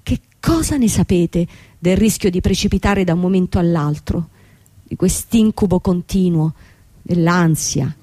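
Radio drama casting: young female boss, condescending and persuasive, soft-edged mellow tone, medium pitch, dry close sound. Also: unhurried, dry recording. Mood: bitter, cold. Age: young adult. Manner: persuasive, insistent, formal.

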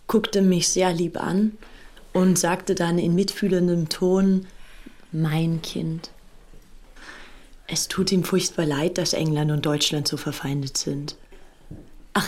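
A woman's voice: deep voice, a little mellow. An actress playing a devoted young upper-class woman, reading her intimate, earnest letters in a documentary drama. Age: young adult. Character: young British aristocrat woman, adoring, girlishly devoted Nazi-era fanatic.

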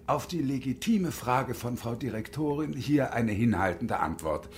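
An actor playing a bitter, desperate hostage-taking engineer in his fifties in a radio drama, with sardonic, menacing, deliberate phrasing. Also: medium pitch, animated, close to an everyday voice. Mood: sardonic, formal. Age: middle-aged.

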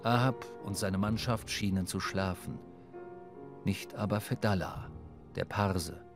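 A man's voice: low-pitched, a little slow. Male radio drama narrator over faint music.

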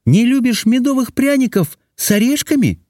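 A man plays a young storybook princess in a raised, high-pitched voice. She sounds gentle and timid, cooing sweetly with swooping intonation. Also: very animated, moderate pace, long reverb tail. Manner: soft, tender, cooing.

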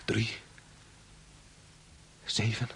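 An actor playing a haunted, frightened young gambler in a radio drama. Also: male voice, drawn-out words, fairly bright tone. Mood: fearful, tense. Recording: noisy old radio drama recording.

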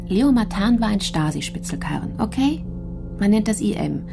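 An actress playing a sharp female prosecutor in a radio play, probing and sarcastic as she argues with herself. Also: mid-range voice, very animated, normal speed, gravelly. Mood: probing, agitated. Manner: probing, sarcastic inner monologue. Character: sharp, self-questioning female public prosecutor, adult. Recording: music behind the voice.

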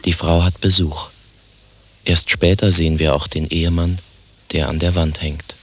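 A man's voice: low-pitched, moderate pace, breathy edge, faint music behind. A man narrating a radio play as a dry, detached voice reading stage directions. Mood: deadpan. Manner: deadpan.

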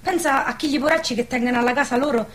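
A woman's voice: high-pitched, quick pace, a bit bright. An actress playing a stubborn, rude young peasant woman in a radio drama, blunt and rustic, with a central Italian accent. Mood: resigned, wistful. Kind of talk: stage-style radio drama acting.

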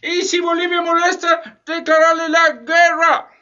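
An indignant, bossy bourgeois gentleman played by a man in a radio play, very high-pitched and sharp. He exclaims theatrically, on a dull recording with faint music underneath. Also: completely flat delivery, a little slow, exaggerated voice. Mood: outraged, commanding. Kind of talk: Spanish-language radio theatre acting.

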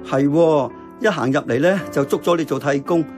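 A man playing an earnest, thoughtful uncle who runs a diner in a radio drama, with a Hong Kong accent and a measured, reflective manner. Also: on the high side, lightly acted. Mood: earnest, reasoning. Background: music.

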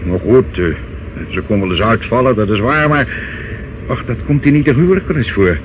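An actor in a Dutch radio play, playing a self-satisfied, menacing older uncle who wheedles and talks down in a hesitant, smooth way. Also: male voice, medium pitch, animated, brisk, lightly acted. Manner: wheedling, hesitant, condescending, calls listener child. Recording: dull, noisy old radio recording.

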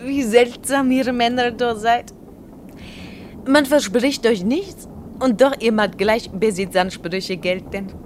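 A woman's voice: a little high, slow pace, slight background noise. An actress playing a reproachful, easily flustered lady traveller in a German radio play, with slightly foreign phrasing. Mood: cool reproach.

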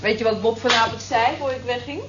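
Actress as high-voiced, wistful night cleaning woman. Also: steady tone, quick pace, music in the background, natural voice. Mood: chatty, musing. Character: Molly, wistful, observant working-class night office cleaner. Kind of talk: radio play acting. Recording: roomy, muffled, hissy old radio recording.

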